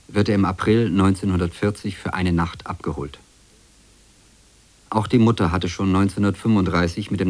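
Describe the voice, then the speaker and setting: A man narrating a radio documentary feature in a calm, measured, matter-of-fact way. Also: low voice.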